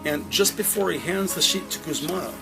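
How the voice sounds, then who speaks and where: Higher-pitched man narrating crime drama. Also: a little fast, creaky, music in the background.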